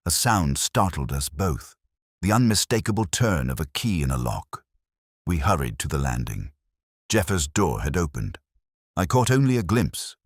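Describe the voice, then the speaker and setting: A man narrating a scripted mystery story in the first person, in a measured, solemn and dramatic manner. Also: extremely low pitch, dry recording, studio quality.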